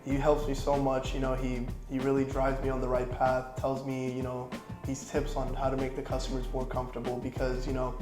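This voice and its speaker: A young man in his twenties from Florida talks through an interview in an easygoing, rambling way, with lots of "you know". His pitch stays flat and even. It sounds like an echoey room with music playing underneath. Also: a little fast. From Florida, United States. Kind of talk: casual interview answers.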